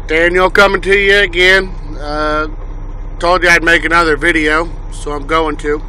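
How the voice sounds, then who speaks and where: A man's voice: a little high, little pitch movement, moderate pace, bright, forceful tone. A young man from Illinois, a truck driver, giving a rambling casual vlog tour with a nasal, stuffy voice from a lingering cough, filmed on a handheld phone. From Illinois, USA. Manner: rambling, many uhs and ums. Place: parked truck cab, then outdoors in wind. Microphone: handheld phone camera. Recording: faint engine and wind noise.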